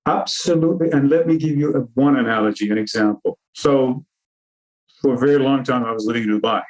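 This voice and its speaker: A man's voice: medium pitch, low-quality recording. Iran-born man explaining as interview guest. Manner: explanatory, measured, lists terms. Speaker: cybersecurity consultant guest. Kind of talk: interview answers over video call. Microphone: video call.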